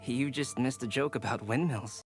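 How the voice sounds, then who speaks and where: A man voicing a calm, measured young alchemist in a video game. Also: medium pitch, soft background music, natural voice. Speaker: alchemist and artist.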